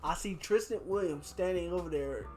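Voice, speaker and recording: A young man chatting casually as a podcast host, telling anecdotes in a slangy, animated way. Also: high voice, normal speed, faint music behind. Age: young adult. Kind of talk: casual chat, storytelling.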